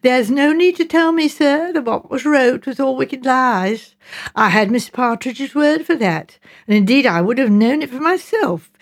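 Woman acting voluble, indignant village mother, swooping pitch. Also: very animated, normal speed. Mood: indignant, insistent. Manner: rambling, deferential, repeating "sir", ungrammatical rustic speech. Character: garrulous, indignant working-class village mother, respectful but firm. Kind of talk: audiobook character dialogue.

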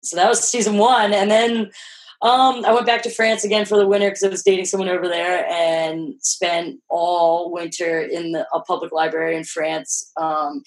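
Guest, woman, Philly-Jersey accent; low, husky voice; loose, swearing chat. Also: moderate pace, low-quality recording. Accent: Philadelphia-New Jersey. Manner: low-voiced, animated, sprinkles "like" and swears freely. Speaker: podcast guest. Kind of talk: casual interview chat.

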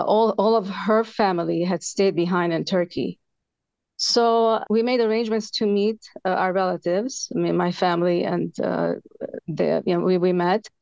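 Gravelly-voiced woman telling her story. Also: fairly low pitch, a little mellow, dry recording, lo-fi sound. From Lebanon. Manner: earnest, halting with frequent fillers. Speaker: author guest. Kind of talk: interview, personal storytelling.

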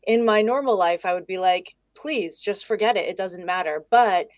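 A woman's voice: medium pitch, moderate pace, dry recording, studio quality. A woman speaking as a guest expert on a video call, casual, candid and chatty. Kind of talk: casual conversation.

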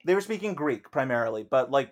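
A man's voice: mid-range voice, expressive, fast. A man co-hosting a casual discussion, loose and joking, who swears offhandedly. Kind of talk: casual discussion of history and a play.

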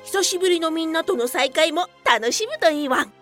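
Very high-pitched cute cartoon dog. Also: female voice, lively intonation, a little fast, soft background music. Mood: cheerful. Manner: ends sentences with a doggy "wan". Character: cheerful mascot dog companion with verbal dog tic. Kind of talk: Japanese radio drama voice acting.

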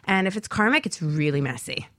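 A woman's voice: lowish voice, big pitch swings, fairly quick, a bit bright, dry recording. Woman explaining in a dry, close sound. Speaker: spiritual intuitive panelist. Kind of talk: conversational radio talk-show discussion.

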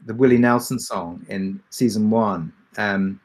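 A man's voice: on the low side, a little slow, a little mellow. Man talking through behind-the-scenes details, hesitantly. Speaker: audio drama creator on a Q&A panel. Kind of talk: casual panel discussion.

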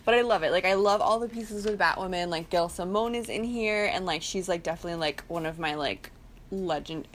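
A woman's voice: fairly low pitch, a little fast, slight background noise. A tipsy woman co-hosting a casual podcast chat, giggly and rambling, with "like" peppered through her sentences. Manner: giggly, rambling, heavy use of "like". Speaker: podcast co-host.

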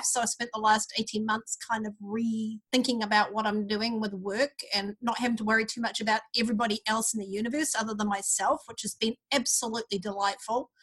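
A woman in her fifties with a softened New Zealand accent tells stories in a chatty, upbeat way. Her voice sits a little high. She is speaking as a guest over an online call. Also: low-quality recording. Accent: New Zealand, softened mid-Pacific. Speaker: guest, anthropology professor. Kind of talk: casual interview over a call. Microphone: remote online call.

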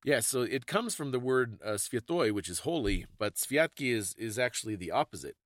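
A man's voice: mid-range voice, lively intonation, moderate pace, a bit bright, no echo, studio quality. A man co-hosting a podcast, explaining things in a casual, conversational way with thoughtful, slightly hesitant phrasing. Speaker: podcast co-host.

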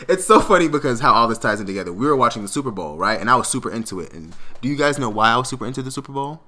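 Casting note: man of Jamaican family, bright voice, lively pitch, storyteller who laughs mid-line. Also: very fast. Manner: animated, laughs often mid-sentence. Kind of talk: storytelling casual chat.

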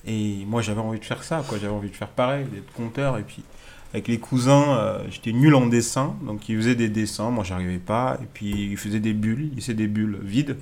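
A man in his thirties from Alsace, a guest artist recounting his path in a relaxed, storytelling way with frequent fillers, in an apartment. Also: on the low side, brisk. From Alsace, France. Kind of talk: casual interview answers.

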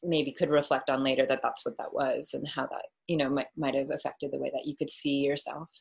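A woman's voice: extremely low pitch, level tone, a little fast, gravelly, slightly rough. A woman asking informal, conversational questions as an interviewer.

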